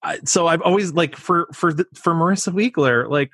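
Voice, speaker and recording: A man with a Midwestern American accent co-hosting a casual film podcast, talking in a halting, restarting way. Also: fairly high pitch, fairly bright tone, husky, dry recording, clean studio sound. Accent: generic Midwestern American. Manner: hesitant, lots of restarts, laughs. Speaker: podcast co-host.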